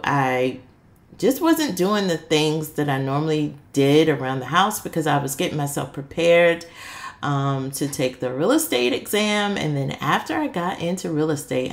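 Low-voiced middle-aged woman, chatty. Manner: candid, friendly, addresses listeners directly. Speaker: vlogger. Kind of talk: casual chatty voice-over.

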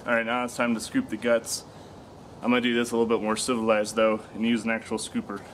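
A man's voice: mid-range voice, completely flat delivery, quick pace, reverberant, background noise. A man in his thirties hosting a home how-to video in a casual, jokey way, sometimes stumbling over his lines. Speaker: host of a woodworking channel. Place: home kitchen and backyard. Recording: distant mic with music underneath.

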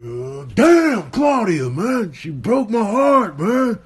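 A man's voice: high voice, very animated, breathy edge, exaggerated voice. A man voicing a whiny, lovelorn male lion in a comedic animal voiceover, pleading and drawing out his words. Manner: pleading, drawn-out wailing.

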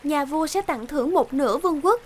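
A woman voicing a plucky, pleading young fairy-tale princess in an animated cartoon, eager and insistent. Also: high-pitched, brisk. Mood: teasing, urging. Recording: music behind the voice.

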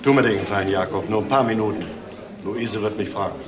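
An actor plays an earnest brother-in-law in a crime drama, a man reluctantly reproachful. His voice is fairly low, off-mic in an echoey space with noise. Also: fast talker, natural voice. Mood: reluctant, insistent. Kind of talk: dramatic dialogue acting. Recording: echoey, distant, clear noise.

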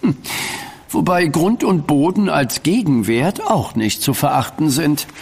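An actor playing a greedy, impatient elder merchant banker in an audio drama, condescending and formal. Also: male voice, on the high side, expressive, measured pace, vocal fry, darkish tone, husky, full character voice. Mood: haughty, businesslike. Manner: condescending, formal archaic diction. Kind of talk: German audio drama acting.

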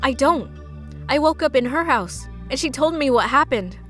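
An intense young woman played by a woman in a dubbed comic drama. Her voice sits high, and she talks with pointed, insistent wounded pride. Light music runs underneath. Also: lively intonation, moderate pace. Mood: guarded. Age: young adult. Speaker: voice actor in a comic dub. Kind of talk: dramatic dialogue. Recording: music behind the voice.

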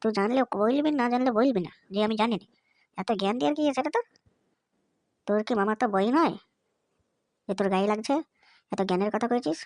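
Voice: mid-range voice, fast, pauses often, no room sound, studio quality, close to an everyday voice. A performer voicing a brash, foul-mouthed cartoon schoolboy with a cocky, scolding, slangy way of talking. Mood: irritated, scolding. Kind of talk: comedy cartoon dialogue.

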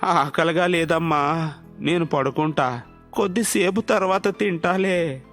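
A man voicing an ailing, gentle old village father in a story, mild and resigned. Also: on the high side, a little slow. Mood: weary. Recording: music under the voice.

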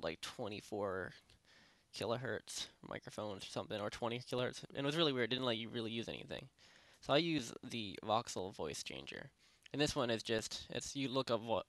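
A young man casually talking through a software tutorial at his home computer, rambling with lots of fillers and restarts. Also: fairly low pitch, no echo. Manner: rambling, many fillers and self-corrections. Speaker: tutorial host. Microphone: desktop microphone at a PC.

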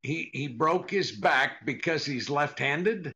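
An older actor playing a dry, exasperated comedian husband in a 1940s-style radio comedy, gravelly, stammering and repeating his questions. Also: fairly high pitch, expressive, slow pace, sharp tone, heavily acted. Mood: puzzled.

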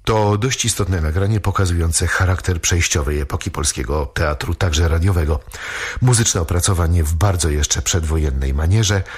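A man presenting radio history in measured, scripted narration. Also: low-pitched, breathy edge. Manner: measured, informative.

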